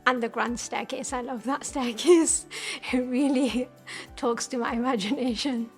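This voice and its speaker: Bright-voiced young woman, hesitant. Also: on the high side, fairly even intonation, a little slow, faint music behind. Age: late 20s. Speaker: interviewee. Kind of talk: casual reminiscing.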